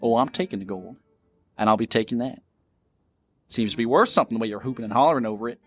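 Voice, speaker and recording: A man's voice: medium pitch, quick pace, husky, soft background music, natural voice. A man playing a cocky, greedy bandit in an audio drama. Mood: menacing. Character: cocky greedy young male bandit, dim-witted. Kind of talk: scripted audio drama acting.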